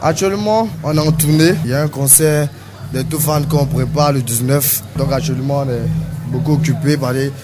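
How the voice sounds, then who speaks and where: A man's voice: medium pitch, moderate pace, heavy background noise. A man, a dancer, giving a modest, plain interview after his performance. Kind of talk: casual interview at a concert venue. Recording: loud music behind voice.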